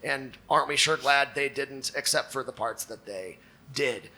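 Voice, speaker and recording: A man hosting a live comedy show on stage, giving sarcastic commentary in a halting, stammering way. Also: medium pitch, bright, forceful tone. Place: on stage before a live audience.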